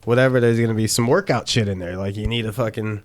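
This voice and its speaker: A man's voice: fairly low pitch, fast, no room sound. A man in his thirties from Chicago, a guest in a casual chat, talking in a loose, rambling way with plenty of fillers and swearing. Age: 30s.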